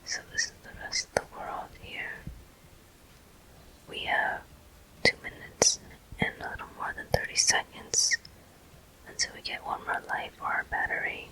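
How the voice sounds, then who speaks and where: A person whispering soft, breathy, unhurried commentary in short phrases while playing a video game for ASMR viewers. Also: rough recording. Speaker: video game player and host.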